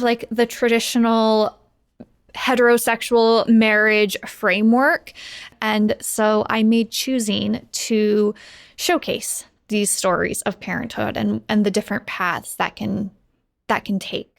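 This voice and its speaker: A woman's voice: a little high, little pitch movement, a little slow, creaky. An American woman in her thirties talking conversationally as an interview guest about her work. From Seattle, United States. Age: 30s. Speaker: podcast producer and guest.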